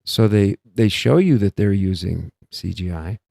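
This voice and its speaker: A man with a very low, gravelly voice talks in a loose, meandering way, breaking off his own sentences as he thinks aloud. His tone is dark and mellow, and the sound is close and dry. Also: expressive, unhurried, dry recording. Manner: meandering, self-interrupting, musing aloud. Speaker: host. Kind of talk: rambling casual monologue.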